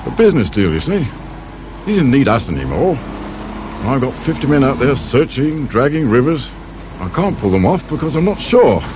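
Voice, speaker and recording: An actor playing a brusque, world-weary detective inspector with a British accent in a radio drama. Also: male voice, medium pitch, sing-song, normal speed, natural voice. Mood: grumbling, cynical. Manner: sardonic, brusque, grumbling. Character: gruff, cynical, world-weary male police detective inspector. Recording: faint noise, old radio drama.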